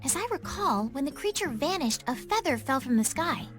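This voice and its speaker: An actress playing an earnest, compassionate young princess in a video game cutscene. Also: female voice, high voice, sing-song, moderate pace, faint music behind. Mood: pensive.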